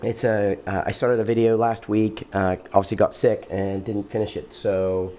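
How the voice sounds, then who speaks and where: A man with a head cold and a nasal voice, talking casually as a video host while unboxing, halting often with fillers and clearing his throat. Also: lowish voice, level tone, warm tone, highs cut off.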